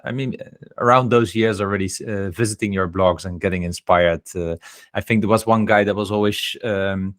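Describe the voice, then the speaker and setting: A man co-hosts a podcast with a very low, flat, even voice, chatting casually. The sound is dry and close. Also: moderate pace, dry recording. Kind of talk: casual chat.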